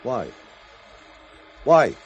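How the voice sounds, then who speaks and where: An actor playing a sardonic, insistent British police detective in charge, in a 1970s radio drama, with a husky voice. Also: male voice, extremely slow, muffled recording, a little hiss or hum. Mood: needling.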